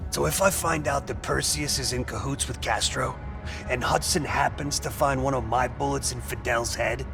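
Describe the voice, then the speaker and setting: An actor playing a brash, foul-mouthed, cocky covert operative in a video game, with a gravelly voice and a swaggering, teasing way of talking. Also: male voice, mid-range voice, steady tone, normal speed, music in the background, close to an everyday voice. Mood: indignant, animated. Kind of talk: video game character dialogue.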